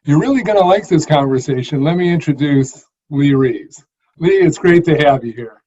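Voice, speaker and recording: A man's voice: a little high, moderate pace, mellow. A man hosting an interview, asking engaged, friendly questions. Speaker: host. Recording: dull lo-fi audio.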